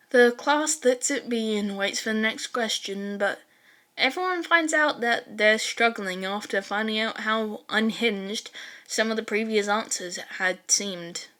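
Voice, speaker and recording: High-voiced woman reading a story expressively. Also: fairly bright tone. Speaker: fan fiction narrator. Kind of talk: expressive amateur audiobook reading of a story, with dramatized character lines.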